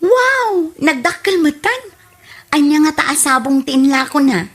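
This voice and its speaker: A woman's voice: sits high, unhurried, fairly bright tone. A woman with an Ilocano accent playing a feisty, exclamatory woman in a comedy radio drama. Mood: surprised, chatty.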